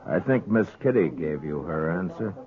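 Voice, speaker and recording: Deep-voiced laconic frontier marshal, old radio. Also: male voice, expressive, moderate pace. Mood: firm, guarded. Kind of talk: 1950s radio western acting. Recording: muffled vintage 1950s radio recording.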